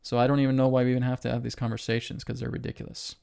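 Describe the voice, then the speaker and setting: Male spiritual host, mid pitch, creaky, emphatic and repetitive lecture delivery. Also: monotone, rapid-fire, dark tone. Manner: emphatic, repetitive, asks rhetorical questions and tags "right?". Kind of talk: spiritual lecture to an online audience.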